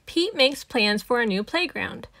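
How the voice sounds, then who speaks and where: Female storybook reader, slow and high, animated read-aloud for little kids, dry sound. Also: no echo. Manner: animated, emphatic on sound-effect words. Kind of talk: expressive read-aloud narration for young children.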